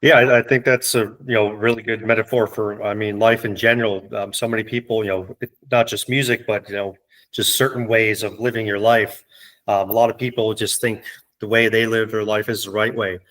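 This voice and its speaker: A man's voice: fairly low pitch, level tone, fairly quick, a bit bright. A man hosting a podcast, chatting earnestly and leaning on "you know" as he talks. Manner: earnest, heavy use of "you know" fillers. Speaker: podcast host. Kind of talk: casual conversation.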